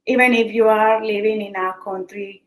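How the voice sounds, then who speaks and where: A woman's voice: medium pitch, steady tone, normal speed. Chatty woman host in an echoey room. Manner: encouraging, chatty. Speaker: interview host. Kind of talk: online interview questions. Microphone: video call. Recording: echoey room on video call.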